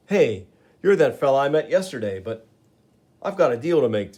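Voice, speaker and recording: A man's voice: mid-range voice, big pitch swings, normal speed, a little mellow, husky, voice pushed up. Brief: man playing a wisecracking young hero, breezy and dry. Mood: wry. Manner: breezy, sarcastic quips. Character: wisecracking young male superhero.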